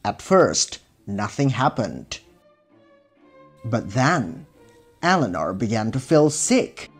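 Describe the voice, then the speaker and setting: A man narrating a scripted children's fairy tale in a measured, expressive storybook style. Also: medium pitch, slightly rough. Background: music.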